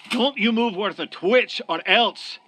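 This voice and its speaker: A man's voice: high voice, a little slow, bright, forceful tone, heavily acted. A man playing a wary, aggrieved Irish tenant in a radio drama, blunt and threatening in an Irish accent. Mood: threatening, suspicious.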